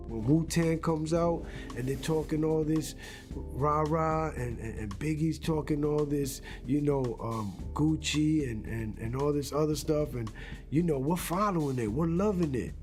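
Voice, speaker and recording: Animated man recalling in an interview. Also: a little high, unhurried, soft background music. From Rhode Island, US. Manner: animated, conversational. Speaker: interviewee, former rap group member. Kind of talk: documentary interview.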